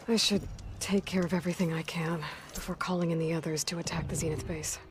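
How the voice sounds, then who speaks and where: A woman's voice: lowish voice, noisy background, close to an everyday voice. A woman playing a determined young warrior in a video game, terse and commanding as she leads a rescue mission. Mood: resolved.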